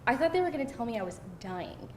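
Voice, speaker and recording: A woman's voice: very animated, fast talker, darkish tone. A woman acting a candid, sarcastic young woman at a live screenplay read, in a conversational style. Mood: amused confession. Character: candid, wry, sarcastic young woman recounting her teens. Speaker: actor at a screenplay table read. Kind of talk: live read of conversational comedy-drama dialogue. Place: live table read in a room. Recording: roomy, distant mic, faint rumbling noise.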